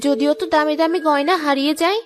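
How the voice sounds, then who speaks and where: A woman's voice: high-pitched, fast talker, noisy background, close to an everyday voice. A woman with a Bangladeshi accent playing a vain, proud young bride in a cartoon, complaining and boasting. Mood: boastful, envious. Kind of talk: cartoon dialogue dub.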